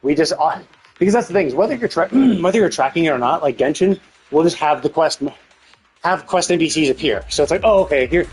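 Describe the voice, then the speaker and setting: Male streamer, somewhat high register, informal and sweary, talking through game opinions at length over faint music. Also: lively intonation, a little fast, low-quality recording. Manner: profane, informal; rambling, explanatory, opinionated. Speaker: live streamer. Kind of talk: casual game stream commentary.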